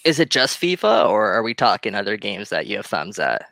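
A man's voice: fairly low pitch, sing-song, a little fast, gravelly, sharp tone, dry recording, clean studio sound. A man co-hosting a casual sports chat, asking questions with enthusiastic, buddy-like banter. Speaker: show co-host, interviewer.

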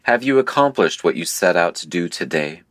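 Deep, ominous, impassive game operator. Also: male voice, no echo, studio quality. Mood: deadpan. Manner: deliberate, deadpan, formal. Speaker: game narrator. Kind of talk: surreal audio drama narration.

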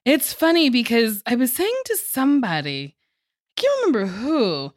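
Woman co-host, fairly high voice, dry close sound, blunt and profane, a little tipsy. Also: normal speed, no room sound. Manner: frank, swears freely, animated asides. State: tipsy from drinking. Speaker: podcast co-host. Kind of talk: casual chat.

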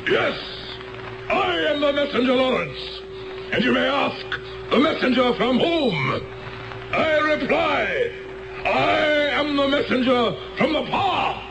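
Radio drama role: zealous middle-aged prophet, very high, gravelly, commanding oratory. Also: male voice, lively intonation, slow pace, background noise, full character voice. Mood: grandiose. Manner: declamatory, fervent, commanding. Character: dynamic middle-aged male messenger preaching doom to a crowd. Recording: echoey auditorium, loud music, vintage radio.

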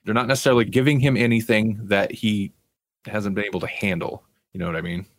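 Low voiced guy cohosting a podcast, casual chat, dry close sound. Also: fairly even intonation, fast, dry recording. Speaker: podcast co-host. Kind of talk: casual pop-culture chat.

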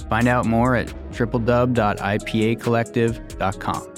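A man reading scripted sponsor ads in an even, promotional delivery. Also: mid-range voice, normal speed. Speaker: sponsor ad reader. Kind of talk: scripted ad read. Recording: ad read over soft guitar music.

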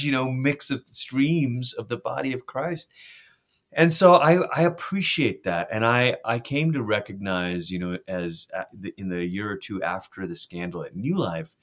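A man's voice: mid-range voice, fairly bright tone. A middle-aged pastor from Malaysia, speaking earnestly and reflectively in a conversational interview, often restarting his phrases. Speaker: pastor and author.

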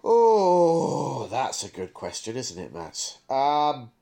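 Male podcast co-host, mid-pitched, conversational and discursive, thinks out loud. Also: wildly swooping pitch, slow pace. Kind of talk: casual podcast chat.

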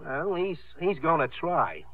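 An actor playing a loyal, folksy, worried sidekick with a hesitant, slangy manner in a 1950s radio drama on a tinny broadcast. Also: male voice, fairly high pitch, very animated, a little slow. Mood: sheepish, worried.

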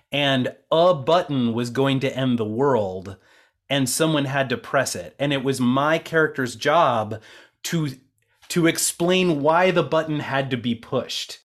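A man's voice: medium pitch, a bit bright. A man interviewing in a conversational way, talking reflectively and explaining things at length. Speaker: interviewer and co-producer, theater actor.

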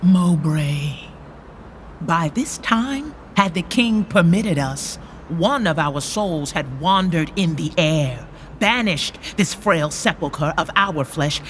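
Proud young noble in shakespeare play, slow measured speech, slight background noise. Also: male voice, high voice, lively intonation, a bit bright, heavily acted. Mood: challenging. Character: proud, steadfast younger nobleman, banished royal cousin. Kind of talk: Shakespearean verse acting.

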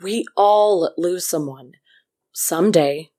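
An actress playing a doctor's dry, teasing, quietly wounded partner in intimate audio drama dialogue. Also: female voice, on the low side. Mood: soothing. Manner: dry, unhurried, gently sardonic.

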